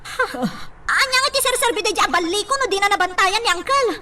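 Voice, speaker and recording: An actress with a Filipino accent playing a demanding, suspicious young daughter in a radio drama. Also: female voice, very high-pitched, lively intonation, quick pace, a little hiss or hum, exaggerated voice. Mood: alarmed. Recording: distant mic under loud music.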